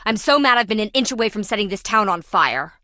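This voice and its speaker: A woman's voice: mid-range voice, expressive, fast, sharp tone, dry recording. A brash treasure-hunting businesswoman for a video game. She is flippant and self-important, griping in slangy, sarcastic lines. The recording is dry and close, with no room around her. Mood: resentful, emphatic. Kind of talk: video game character dialogue.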